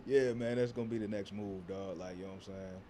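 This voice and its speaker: Rapper guest, male, deep bassy voice, easygoing slang-heavy chat, faint background hiss. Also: fairly even intonation, quick pace, mellow. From Texas. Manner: laid-back, slangy, keeps saying "you know what I'm saying". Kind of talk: casual interview chat.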